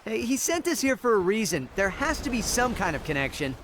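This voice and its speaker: Young alien hero, high-pitched, eager, stubborn, lively intonation, video game, music. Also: male voice, fairly quick, noisy background. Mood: insistent. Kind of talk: video game character acting. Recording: music under the voice.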